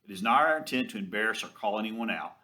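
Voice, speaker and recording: A man's voice: on the low side, lively intonation, fast, bright, forceful tone. A man reads a scripted introduction as the presenter of a training film.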